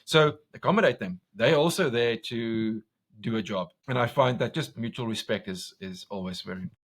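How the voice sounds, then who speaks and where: A man from South Africa with an Afrikaans accent, a commercial director talking passionately in a podcast interview, loud and full of restarts. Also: mid-range voice, fairly quick. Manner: intense, passionate, talks loud, many restarts.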